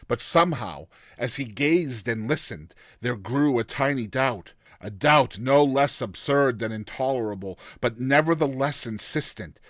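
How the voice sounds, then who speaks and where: A male narrator reads a story with theatrical solemnity. His voice sits at a middle pitch and his phrasing is deliberate. The recording is dry, with very little room sound. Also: a bit bright, no room sound. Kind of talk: dramatic scripted story narration.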